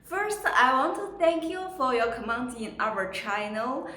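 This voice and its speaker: A woman hosting a casual product unboxing, speaking in simple, slightly halting non-native English phrasing. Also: sits high, sing-song, pushed and bright. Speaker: host of a product unboxing channel. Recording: echoey room with faint background noise.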